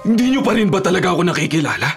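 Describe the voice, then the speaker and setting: A man playing a powerful Filipino governor in a radio drama, measured and solemn, stern but secretly wounded. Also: high voice, very animated, vocal fry, faint music behind, heavily acted. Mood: emotional revelation.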